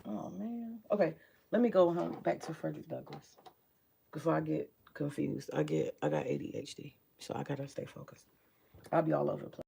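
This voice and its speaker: A woman with a very low, gravelly morning voice and a dark, mellow tone talks through her book collection at home. She rambles and swears casually, muttering to herself as she looks through her shelves, over a faint hiss of background noise. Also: fairly quick. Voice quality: deep morning voice. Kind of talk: casual home vlog chat.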